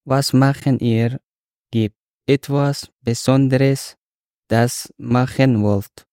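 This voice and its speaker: A man's voice: medium pitch, steady tone, takes it slowly, dark tone, clear, resonant tone, no echo, studio quality. A man from Afghanistan, a non-native speaker, taking part in a podcast discussion. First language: not German. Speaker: podcast participant.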